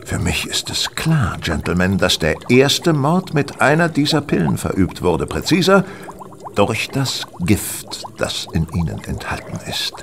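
A man playing a brilliant, eccentric, self-assured detective in a German radio play, with a lecturing and excitable manner. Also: medium pitch, a little slow, noisy background. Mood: confident, lecturing. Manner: theatrical, lecturing, self-satisfied.

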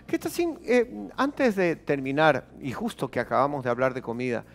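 A man's voice: mid-range voice, huge pitch swings, moderate pace. A man in his forties hosting a casual studio talk show, playful and joking as he steers the chat. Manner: playful, joking, wraps up segment. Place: TV studio set.

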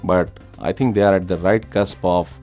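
A man's voice: low-pitched, fairly even intonation, moderate pace, dark tone. A man in his forties answering interview questions as an expert commentator, recorded indoors. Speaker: business executive, expert commentator. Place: indoor room, plain wall. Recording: roomy echo, music underneath.

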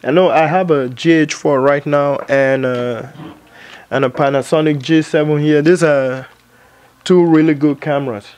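A man's voice: fairly high pitch, steady tone, normal speed, a little mellow, clean tone. A man in his thirties talking casually to camera in his home editing studio, thinking aloud with plenty of fillers. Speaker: vlogger and filmmaker. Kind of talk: casual vlog talk.

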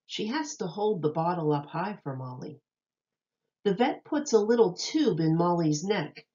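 A woman with a slightly low voice reads a children's book aloud like a teacher. She pronounces each phrase carefully and deliberately for young listeners. The recording is a little dull and lo-fi. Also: animated, mellow.

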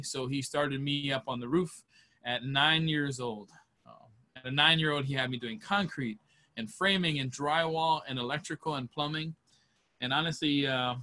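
A man in his thirties, raised in Hawaii, teaches an online safety course in an easygoing, plain-spoken way. His voice sits at an ordinary middle pitch. He is recorded through a webcam in a small room at home. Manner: relaxed, conversational, plain-spoken. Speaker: safety instructor. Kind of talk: online training lecture. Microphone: webcam on a video call.

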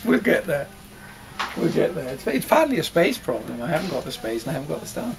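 A man's voice: a little high, sing-song, brisk, gravelly. An older man giving a factory tour, rambling with frequent restarts, recorded on a camera mic in a large warehouse. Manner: rambling, restarts, hands gesturing while talking. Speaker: audio company founder. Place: large warehouse with shelving, indoors.

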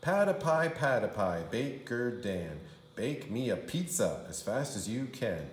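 Slow, lively man reading nursery rhymes. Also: medium pitch. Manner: theatrical, sing-song delivery of rhymes. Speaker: kindergarten teacher reading aloud. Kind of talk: expressive children's storybook read-aloud. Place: indoor room. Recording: roomy indoor echo.

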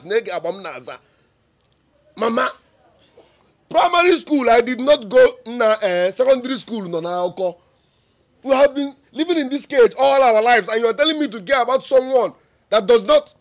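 A man's voice: high voice, gravelly, a bit bright, exaggerated voice. A young man with a Nigerian accent playing a defiant son who argues with his mother, exasperated and mixing in Pidgin and Igbo phrases. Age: young adult.

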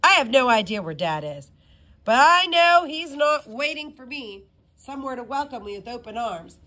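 A woman's voice: sits high, sing-song, normal speed, bright, forceful tone, voice pushed up, full character voice. A woman voicing a cheeky, self-assured schoolgirl in a storybook, with dry, teasing emphasis. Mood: breezy candor.

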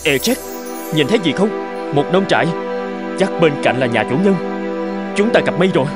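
An actor playing a young, easygoing and polite male traveller in an animated cartoon, with expressive delivery. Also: on the high side, normal speed, natural voice. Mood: worried. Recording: music behind.

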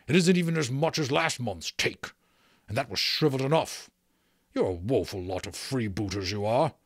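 A man's voice: medium pitch, lively intonation, moderate pace, slightly rough, dry recording, studio quality. A man voicing a fat, greedy, bullying town mayor in a storybook, with a pompous, complaining manner.

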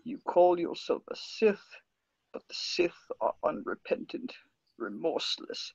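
A man voicing an ancient alien Sith master in a fan table read, raspy and creaky, mocking and menacing in manner, over a video call. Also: high voice, big pitch swings, no echo, muffled recording, full character voice. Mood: commanding. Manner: slow, menacing, amused. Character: ancient spindly alien Sith master, seven thousand years old, mocking.

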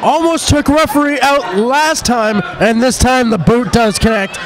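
A man doing energetic color commentary at a live wrestling show, loud and losing his voice. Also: very high-pitched, measured pace. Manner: energetic, loud, joking asides. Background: loud crowd and room noise.

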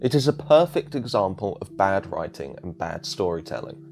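Lively low-pitched man narrating, music behind. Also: moderate pace, creaky, slight background noise. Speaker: video essay narrator. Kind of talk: scripted video essay narration.

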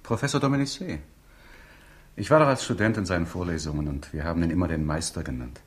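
An actor in a 1960s radio crime drama playing an affectionate, attentive fiancé in a conversational manner. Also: male voice, sits low, fast, natural voice.

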